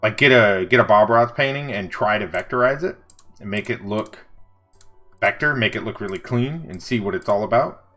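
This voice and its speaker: A middle-aged man with a deep voice, chatting casually on a livestream as he draws and answers chat. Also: normal speed, soft background music. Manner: relaxed, rambling, reads and answers chat. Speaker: livestreaming vector artist. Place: home studio desk.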